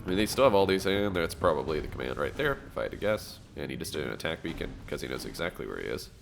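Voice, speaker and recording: A deep-voiced man with a gravelly edge commentates a strategy game, excitable and quick to laugh. His voice is stuffed-up and nasal, and a steady hiss sits under the home recording. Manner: excitable, fast reactions and laughs. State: nasal from allergies. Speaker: gameplay commentator. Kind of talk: casting a strategy game match.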